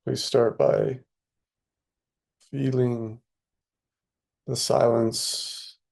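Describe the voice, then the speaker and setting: A man leading a guided meditation in a soothing, unhurried way, with spare phrases and long pauses between them. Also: mid-range voice, gravelly, darkish tone, breathy edge.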